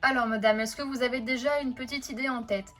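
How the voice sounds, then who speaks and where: A woman's voice: on the high side, steady tone, brisk, a bit bright, natural voice. A woman voicing a female boutique saleswoman in a toy-figure parody, with a polite salesperson tone. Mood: obliging.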